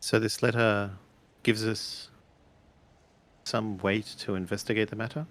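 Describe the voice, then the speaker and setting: A man's voice: lowish voice, level tone, a little slow, fairly bright tone. A man playing a tabletop roleplaying game, improvising dialogue in a hesitant, questioning way as he thinks aloud.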